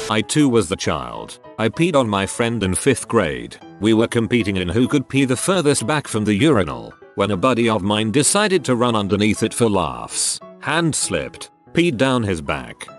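Low-voiced, animated male narrator. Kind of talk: scripted narration of forum stories.

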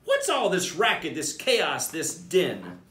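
A man's voice: fairly high pitch, huge pitch swings, takes it slowly, a bit bright, pitched above the performer's own voice, full character voice. A man voicing a weary, exasperated mother monkey in a storybook, scolding sternly in an emphatic singsong. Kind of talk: storybook character voice. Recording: roomy echo, distant mic.

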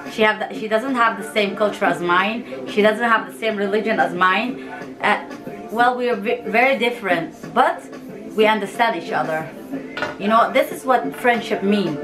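A woman's voice: medium pitch, low-quality recording. A woman in her thirties from Yemen and Somalia, chatting frankly and animatedly to camera as a vlogger from a small room at home. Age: 30s. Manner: frank, animated, gestures with hands. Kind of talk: casual direct-to-camera chat. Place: small home room, seated in a hanging chair. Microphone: camera in front, mid distance. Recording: music clearly under the voice. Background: music playing in the background.

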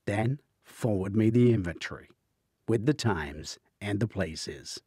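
Man playing stubborn, husky tech colleague. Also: on the low side, lively intonation, unhurried, mellow, no room sound, clean studio sound. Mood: matter-of-fact. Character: stubborn, earnest male tech colleague, defensive.

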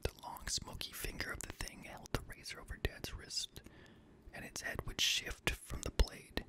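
A young man reading scary stories in a hushed, breathy ASMR whisper, gently, close to a studio microphone. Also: moderate pace. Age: young adult. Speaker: host and reader of scary stories. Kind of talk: whispered ASMR horror story narration. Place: small indoor home studio room. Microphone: close boom-arm studio microphone.

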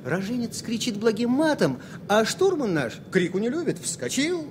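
Animated, bantering co-pilot. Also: male voice, very high voice, moderate pace, heavily acted. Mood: teasing. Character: jovial, teasing male co-pilot, talkative and optimistic. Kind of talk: 1970s Soviet radio play acting. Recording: noisy vintage radio-play recording, background hum.

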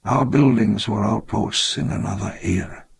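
An older actor playing an elderly, wistful dinosaur-park founder, narrating his memoir in a measured, solemn way. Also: fairly low pitch, gravelly, noisy background. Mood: reflective. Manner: measured, reflective, grandly solemn. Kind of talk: scripted memoir narration for a game.

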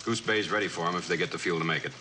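A man playing a deferential military aide in a vintage suspense drama, reporting in a brisk, matter-of-fact way. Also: low-pitched, over music, close to an everyday voice. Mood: brisk, dutiful. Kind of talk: mid-century suspense drama acting.

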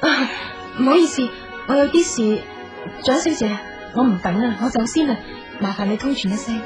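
A woman's voice: fairly high pitch, animated, normal speed, lightly acted. A woman playing a guarded, polite young interior designer in a radio drama, with a Hong Kong Cantonese accent. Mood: uneasy. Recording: radio drama with music underneath.

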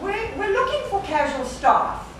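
A woman's voice: extremely high pitch, very animated, unhurried, noisy background, full character voice. A woman acting a quirky manager in an amateur stage play, with a theatrical sing-song delivery. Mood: friendly. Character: quirky friendly woman manager who sings her sentences. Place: indoor theatre stage before an audience. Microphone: far camera. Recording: echoey theatre stage, distant camera mic, music.

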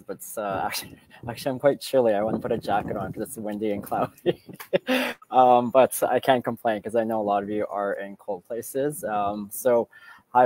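A man in his forties from Canada telling his story on a video call, relaxed and full of fillers, outdoors with earbuds. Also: fairly low pitch, slight background noise, poor audio. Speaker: guest speaker. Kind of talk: casual personal story. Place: outdoors by a beach. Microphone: earbud headset on video call.

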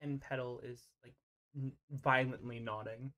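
A game master narrating an improvised tabletop roleplay over an online voice call, often pausing mid-sentence. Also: mid-range voice, fairly even intonation, a bit bright.

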